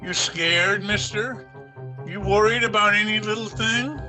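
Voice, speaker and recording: A man's voice: high voice, unhurried, music in the background, poor audio. An actor playing a sadistic, taunting gunman in an old-time radio crime drama, with drawled tough-guy slang. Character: sadistic male gunman, taunting drunken killer.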